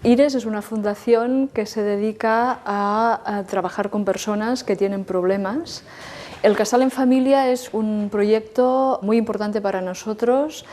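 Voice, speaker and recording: A woman's voice: mid-range voice, measured pace, a little mellow. Plain-spoken woman in her fifties. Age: 50s. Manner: plain, explanatory. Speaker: social worker. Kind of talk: documentary interview.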